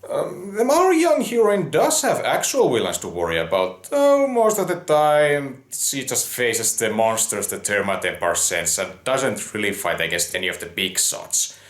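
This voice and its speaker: A man giving conversational review commentary in a musing, somewhat halting way. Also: mid-range voice, wildly swooping pitch. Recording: roomy, echoing voice recording.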